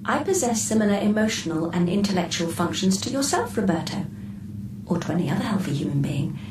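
A woman's voice: medium pitch, lively intonation, close to an everyday voice. Woman as a poised AI, echoey and distant. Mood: measured, precise. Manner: formal, measured, precise phrasing. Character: poised, precise, enigmatic artificial intelligence. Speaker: actor in a radio drama. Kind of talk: radio drama acting. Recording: echoey, distant voice with background noise.

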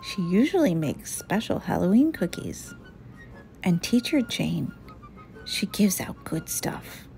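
A sweet little girl bear cub from a picture book, acted out by a woman. She is curious and chatty, speaking at a slow pace. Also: on the low side, very animated, vocal fry, mellow, soft background music, heavily acted.

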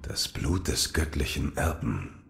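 An actor playing a stoic, grim shinobi warrior in an audio drama, speaking tersely. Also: male voice, a bass voice, big pitch swings, measured pace, gravelly, a bit bright, soft background music, exaggerated voice. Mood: grim, guarded. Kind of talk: audio drama acting of a video game.

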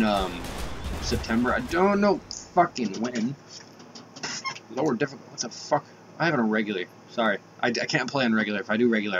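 A man's voice: mid-range voice, noisy background. Congested young man rambling over gameplay. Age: young adult. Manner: rambling, swears often, many fillers. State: stuffy nose, raspy from a cold. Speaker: gaming youtuber. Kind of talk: casual gameplay commentary.

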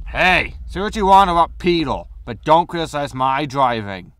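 A man's voice: fairly high pitch, big pitch swings, normal speed, fairly bright tone, no room sound. A man playing a greedy, breezy, disgraced space haulage captain in a sci-fi comedy audio drama, flippant and full of excuses. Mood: defensive, flippant. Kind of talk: science fiction comedy audio drama acting.

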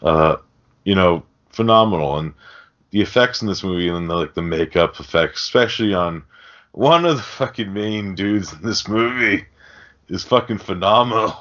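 A man with a very low voice talks casually about films as a podcast co-host. He explains details with sweary enthusiasm, and the recording is slightly dull and lo-fi. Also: normal speed, fairly bright tone. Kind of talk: casual film discussion.

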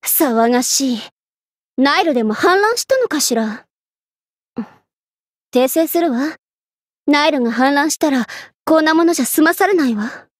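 Young queen, very high girlish pitch, imperious, vain, easily flustered tsundere, anime game, dry close sound. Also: expressive, normal speed, pauses often, dry recording. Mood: haughty. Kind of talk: Japanese anime game character voice acting.

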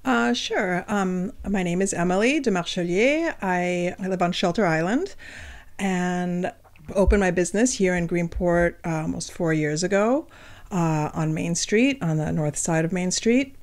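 A woman's voice: fairly low pitch, a little slow. A middle-aged woman from France, a restaurant owner answering interview questions in a casual, hesitant way with many ums.

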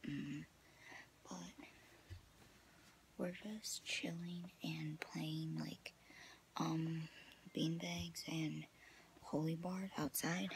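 A young woman talks casually to a phone held at arm's length. Her voice sits a bit low and moves slowly, with a halting, filler-heavy flow. There is faint-to-moderate noise from the handheld recording in a small indoor space. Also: a little mellow. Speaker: vlogger. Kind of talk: casual vlog chat. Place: small indoor rooms, camper and bedroom. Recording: noisy handheld phone audio.

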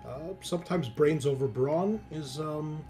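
A man playing a measured, diplomatic deputy in a tabletop game, speaking haltingly, politely and deliberately. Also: on the high side, dark tone, faint music behind, natural voice. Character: measured, diplomatic deputy counselling the prison warden. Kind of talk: tabletop role-play acting.

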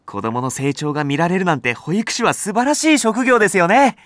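A man's voice: sits high, big pitch swings, brisk, fairly bright tone, no room sound. A man voicing an earnest young rookie nursery teacher in a voice drama, polite and enthusiastic. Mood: eager. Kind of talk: Japanese voice drama acting.

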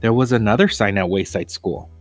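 A man reading a children's story aloud in a deliberate, expressive narration with pauses. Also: lowish voice, no room sound, soft background music.

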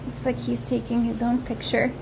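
A woman's voice: fairly high pitch, completely flat delivery, moderate pace, vocal fry. A young woman doing a picture book read-aloud, describing pictures and asking simple, wondering questions as if to small children. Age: young adult. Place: small room at home, indoors. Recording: noticeable background noise, home recording.

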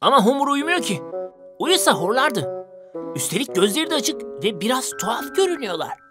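Animated, earnest cartoon toy helicopter. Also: female voice, fairly high pitch, fairly quick, music in the background. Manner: explanatory, easily amazed. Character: earnest, wide-eyed toy helicopter, helpful know-it-all. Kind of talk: children's animated series voice acting.

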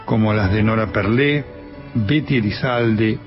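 A male journalist with an Argentine accent giving a measured, scripted documentary narration. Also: fairly low pitch. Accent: Argentine Spanish. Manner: measured, even reading. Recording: slightly dull sound with music underneath.

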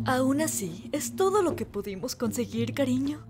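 A woman's voice: high-pitched, big pitch swings, moderate pace. A woman with a Latin American Spanish accent voicing a languid, wry, glamorous female cat in a cartoon fandub. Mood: weary, gentle. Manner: languid, wry, condescendingly affectionate. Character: weary, glamorous adult female cat, elegant bar hostess. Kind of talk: animated cartoon fandub acting. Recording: music behind the voice.

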